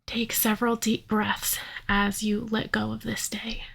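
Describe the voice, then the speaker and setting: A woman leading a guided yoga nidra meditation in a soothing, unhurried manner, leaving long pauses. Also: medium pitch, level tone, vocal fry, fairly bright tone, breathy edge.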